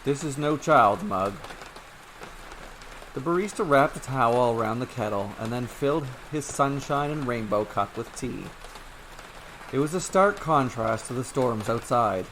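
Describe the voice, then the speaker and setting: A man narrates a short fairy tale in a steady, even reading voice at a middle pitch. A faint hiss of rain noise sits underneath the voice. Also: poor audio. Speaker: storyteller. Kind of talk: scripted story narration.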